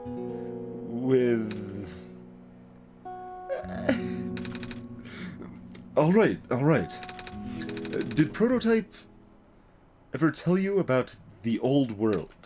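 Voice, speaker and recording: A man's voice: mid-range voice, big pitch swings, over music. A man voicing an earnest, hesitant young male video game character who speaks in careful, formal words. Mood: hesitant, uneasy. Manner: halting, careful, formal wording. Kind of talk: voiced reading of video game dialogue.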